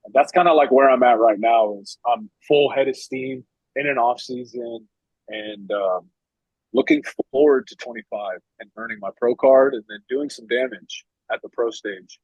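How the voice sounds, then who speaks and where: Husky man in his thirties, rambling. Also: medium pitch, animated, normal speed, darkish tone, no room sound, highs cut off. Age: 30s. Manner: loose and rambling, heavy "like" fillers. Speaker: competitive men's physique athlete. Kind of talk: casual podcast chat.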